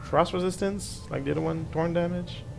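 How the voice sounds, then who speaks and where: A man's voice: a little high, steady tone, brisk, creaky, background noise. A middle-aged man whose first language is French, casually commenting on a game as he plays, thinking aloud with restarts and little self-deprecating asides. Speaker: gaming channel host. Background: game music.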